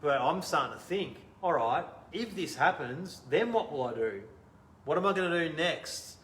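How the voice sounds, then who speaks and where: Animated Australian man telling his story. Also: on the high side, normal speed, echoey room, slight background noise. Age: 30s. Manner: animated, laughs often, trails off quietly. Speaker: interview guest. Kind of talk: casual storytelling conversation.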